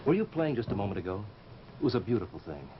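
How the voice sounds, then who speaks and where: An actor playing a bold, curious, smitten sculptor in an old radio drama, intrigued and insistent. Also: male voice, fairly low pitch, very animated, quick pace. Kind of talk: 1940s radio drama acting. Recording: noisy, dull vintage radio recording.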